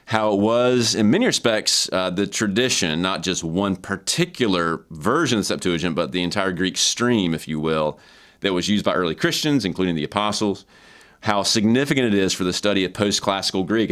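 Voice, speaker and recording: A man's voice: deep voice, a bit bright. A man hosting an informal, explanatory walkthrough of an academic book, conversational yet scholarly, repeating words for emphasis. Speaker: host and book reviewer.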